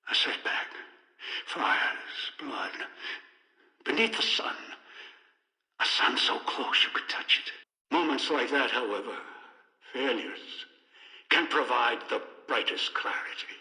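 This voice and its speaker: An older actor voicing a ruthless, obsessive, scheming old man in a video game, deliberate and imperious, with dramatic pauses and an echoing radio-style sound. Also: mid-range voice, pushed and bright, full character voice. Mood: vengeful, brooding.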